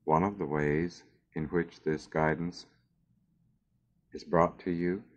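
A man narrating a scripted guided meditation in a measured, soothing way with long pauses, on a dull recording. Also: low voice, fairly even intonation.

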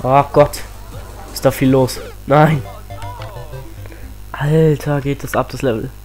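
A teenage boy with a cold, chatting excitedly as he plays a platform game on his channel, full of rambling asides. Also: medium pitch, slow pace, soft background music. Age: teens. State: has a cold. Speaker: let's play host. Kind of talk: casual game commentary.

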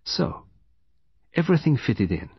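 A man voicing a shrewd, gentle detective who explains a crime in a measured, courteous way, in an audiobook. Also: a little high, big pitch swings, slightly rough, no room sound, lo-fi sound, voice pushed up, heavily acted. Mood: methodical explaining.

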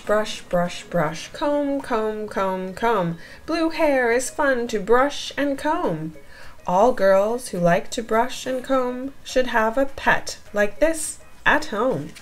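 A woman reading a rhyming picture book aloud for young children in an expressive, deliberate storytelling manner. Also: on the high side.

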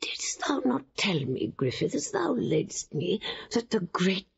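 An actress playing an ailing, weary noble queen in a Shakespearean audio drama, with a creaky, breathy voice. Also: mid-range voice, wildly swooping pitch, highs cut off, heavily acted. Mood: pained, weak. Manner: slow, pained, dignified.

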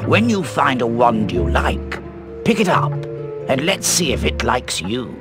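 An actor playing an eccentric, mysterious old British wandmaker in a video game, theatrical, musing and precise. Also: male voice, medium pitch, very animated, unhurried. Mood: businesslike. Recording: music behind the voice. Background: music.

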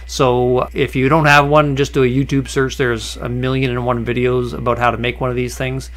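A man at an ordinary middle pitch talks through his workshop steps in an easygoing voice-over. He pauses often on "uh" and restarts a phrase here and there. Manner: relaxed and conversational, with many "uh" fillers and restarts. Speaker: woodworking channel host. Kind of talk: voice-over narration of a workshop project.

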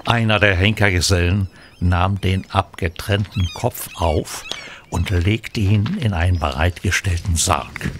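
A man narrating a radio play from a script in a measured, sombre storytelling manner. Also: low voice. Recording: clear background noise.

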